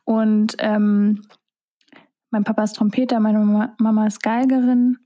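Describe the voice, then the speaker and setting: A woman's voice: medium pitch, flat delivery, measured pace, warm tone. A young woman, a singer-songwriter whose first language is German, talking casually in an interview, musing with many fillers.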